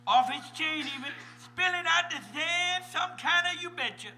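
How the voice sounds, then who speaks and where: A man's voice: very high voice, moderate pace, pushed and bright, soft background music, heavily acted. A man voicing a goofy, folksy cartoon narrator, rambling and amused, in a live comedic read.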